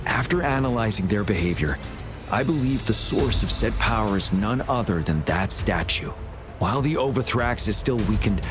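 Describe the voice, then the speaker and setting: An actor playing a composed army general in a video game, speaking in a formal, measured, authoritative way. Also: male voice, lowish voice, close to an everyday voice. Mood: composed, grave. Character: composed male army general briefing his troops. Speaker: military commander. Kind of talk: scripted video game dialogue.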